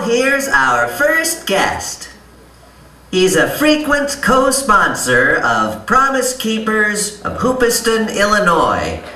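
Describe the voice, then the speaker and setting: High, swooping pious squirrel announcer. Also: male voice, sharp tone, heavily acted. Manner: mock-earnest, showy, deliberate delivery. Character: pious, chirpy squirrel puppet announcer, male. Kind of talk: theatrical puppet-show announcing and preaching. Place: live on stage in a music venue. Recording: echoey live hall, distant, faint noise.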